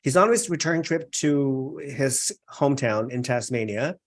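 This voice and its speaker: Host, man, mid-pitched; casual welcoming delivery over a video call. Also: a little slow. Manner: friendly, welcoming.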